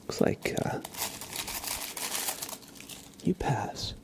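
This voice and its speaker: A man's voice: drawn-out words, background noise. A man hosting a live ASMR stream, chatting to viewers with playful, murmured role-play patter. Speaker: livestream host.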